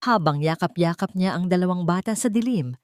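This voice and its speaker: A woman narrating a dramatic story in an expressive, suspenseful storytelling manner. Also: fairly low pitch, fairly quick, no room sound, studio quality.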